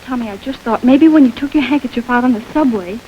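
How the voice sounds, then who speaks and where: An actress playing a sweet, timid young fiancée with a breathy, gushing manner in an old radio drama. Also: female voice, fairly high pitch, fast, dull audio, a little hiss or hum, natural voice. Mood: dreamy. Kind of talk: 1940s radio acting.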